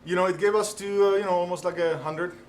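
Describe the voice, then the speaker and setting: Czech-accented man, halting and friendly. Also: high-pitched, steady tone, slight background noise. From Czech Republic. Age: middle-aged. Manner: halting, many fillers, laughs easily. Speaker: restaurant owner. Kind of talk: casual studio interview.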